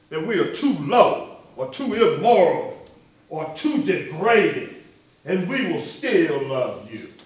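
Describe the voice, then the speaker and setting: The fiery, biting movement leader you'd hear from the back row of a cavernous theatre, his high, sweeping oratory ringing off the walls. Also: a bit bright, full character voice. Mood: impassioned, mocking. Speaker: stage actor. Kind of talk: one-act stage play dialogue. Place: live theatre performance, recorded from far off. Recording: distant, very echoey stage hall.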